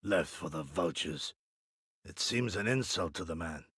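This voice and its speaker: An actor playing an earnest, proud samurai in a video game, speaking formal archaic lines with dramatic pauses. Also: male voice, sits low, animated, a bit bright, husky, no echo, clean studio sound, heavily acted. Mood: reflective, wry. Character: earnest, theatrical samurai warrior, proud and inquisitive.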